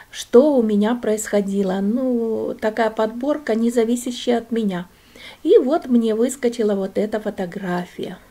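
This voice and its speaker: A middle-aged woman from Russia, chatting as a vlogger while she walks through shops, often speaking softly, almost in a whisper. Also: on the high side. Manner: soft, often near-whispered commentary while browsing. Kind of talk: chatty walking vlog narration.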